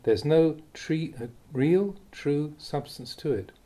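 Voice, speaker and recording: A man, a monk, reading aloud a Buddhist teaching in a calm, deliberate way. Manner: calm, deliberate reading with lively pitch on key words. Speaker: monk reading Dhamma talks.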